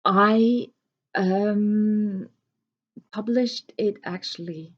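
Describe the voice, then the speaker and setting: A woman whose first language is Norwegian, an author talking to camera in a slow, hesitant way with many pauses and fillers. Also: medium pitch, dull audio. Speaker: self-published author. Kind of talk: reflective talk to camera.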